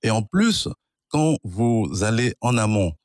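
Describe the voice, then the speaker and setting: Un homme d'origine angolaise, de langue maternelle kikongo, qui explique la linguistique d'un ton posé et professoral. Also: male voice, lowish voice, sing-song, dry recording, studio quality. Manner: measured, professorial, spells out words. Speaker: guest, linguist and author. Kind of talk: explanatory interview answers.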